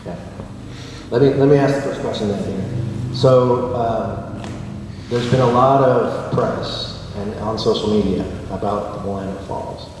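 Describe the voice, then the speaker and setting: A man hosting a community forum, talking in a low voice with many fillers and restarts, using a handheld mic in a large wood-paneled hall. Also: unhurried, noisy background. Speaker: forum host. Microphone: handheld mic, heard from a far camera. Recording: echoey hall from far off.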